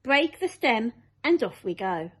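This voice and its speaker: A woman's voice: fairly high pitch, very animated, a little slow, a bit bright, full character voice. A woman voicing a kind, motherly ladybird in a storybook reading, explaining things in an earnest, reassuring way.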